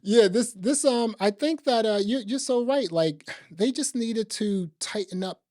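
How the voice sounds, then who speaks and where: A man's voice: high-pitched, measured pace, darkish tone, no echo. Casual man chatting, dry close recording. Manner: slangy, often asking "right?" for agreement. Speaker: podcast co-host reviewing films. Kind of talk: casual film review chat.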